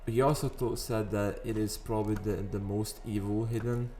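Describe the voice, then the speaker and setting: A man with a raspy morning voice, chatting casually over gameplay and rambling into sidetracks. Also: on the low side, level tone, normal speed, dark tone. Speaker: gaming video host. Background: faint background music.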